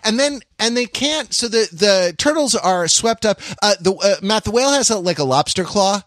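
A man co-hosting a podcast, talking casually about film in a halting, rambling way with repeated and restarted phrases. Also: sits high, expressive, a bit bright. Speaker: podcast co-host.

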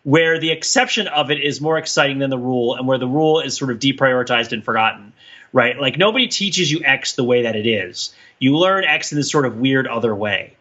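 A man's voice: medium pitch, brisk, fairly bright tone, dull audio. A man co-hosting a casual podcast discussion, explaining things in a rambling, digressive way and often checking in with "right?". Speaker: podcast co-host.

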